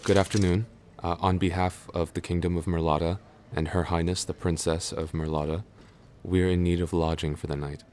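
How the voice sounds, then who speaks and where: Guy acting as a low voiced loyal knight boyfriend, polite n a bit flustered, audio rp, some noise behind him. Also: little pitch movement, natural voice. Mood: courteous, formal. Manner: polite, halting, softly attentive. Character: devoted, flustered young knight, secret lover of princess. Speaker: audio roleplay voice actor. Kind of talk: intimate boyfriend roleplay audio drama.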